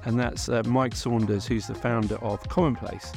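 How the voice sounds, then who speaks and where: A man's voice: mid-range voice, steady tone, moderate pace, vocal fry, darkish tone, faint music behind. A man hosting an interview, introducing his guest in a hesitant, appreciative way. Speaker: interview host. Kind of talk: conversational interview.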